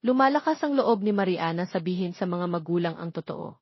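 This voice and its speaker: A woman's voice: fairly low pitch, expressive, brisk, breathy edge, no echo, lo-fi sound. A woman narrating a scripted love story as an audiobook reader.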